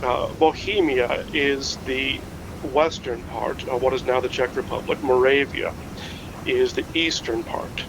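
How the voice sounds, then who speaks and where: An actor playing a knowledgeable history buff in an audio drama, explaining in measured, careful phrases. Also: male voice, mid-range voice, steady tone, noisy background, close to an everyday voice. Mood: measured, informative. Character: knowledgeable, measured amateur historian named Steve. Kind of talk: naturalistic fiction podcast acting, explanatory.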